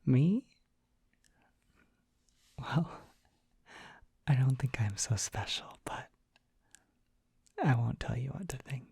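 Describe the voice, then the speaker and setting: Casting: shy, tender young dragon boy for ASMR comfort roleplay, played by a performer; mellow, hushed, whispery voice, close and dry on the mic, hesitant and soothing. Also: mid-range voice, expressive, slow, pauses often, no room sound, exaggerated voice. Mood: bashful, soothing. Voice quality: whispery, breathy, soft.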